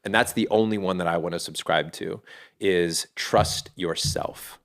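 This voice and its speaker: Middle-aged male guest, very low voice, earnest, thinks aloud with restarts. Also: steady tone, measured pace, gravelly. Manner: earnest and reflective, with frequent restarts. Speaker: podcast guest, fatherhood community founder. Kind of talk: casual interview talk.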